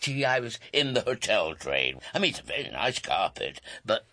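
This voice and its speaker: An actor playing a fussy, bumbling, self-important older grandfather in a radio comedy drama, with a gravelly, husky voice and a hesitant, self-justifying manner. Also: fairly low pitch, big pitch swings, normal speed, bright, forceful tone, no room sound, exaggerated voice. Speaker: actor, lead and narrator.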